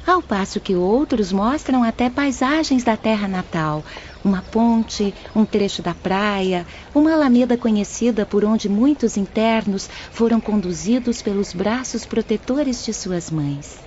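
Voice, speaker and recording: A woman narrates an audiobook in a composed, explanatory way. Her medium-pitched voice is slightly muffled, with faint background noise. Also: measured pace, darkish tone.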